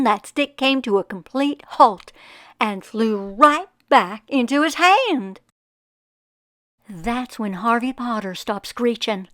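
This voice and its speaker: A woman voicing a folksy, wonderstruck farmer who recalls a magical childhood summer in expressive storybook narration. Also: a little high, no room sound, studio quality. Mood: awed, scared. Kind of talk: storybook first-person narration.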